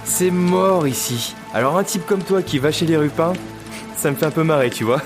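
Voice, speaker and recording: A man's voice: on the high side, normal speed, over music, natural voice. An actor playing a familiar, teasing working-class local man in an audio drama, speaking in slang. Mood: frank, defiant. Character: chatty working-class local townsman, mocking but helpful. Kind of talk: French audio drama dialogue.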